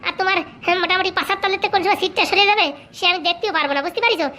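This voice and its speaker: A woman's voice: very high voice, pushed and bright, slight background noise. A woman voicing a cunning, boastful young male political fixer in a comic cartoon, brash and bossy, with a rural Bengali accent. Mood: smug, cocky. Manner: brash, bossy, fast-talking.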